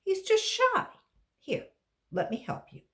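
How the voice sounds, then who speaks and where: A woman's voice: very high voice, wildly swooping pitch, takes it slowly, fairly bright tone, voice pushed up, heavily acted. A woman voicing a patient, primly polite but exasperated schoolteacher in a children's storybook. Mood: coaxing.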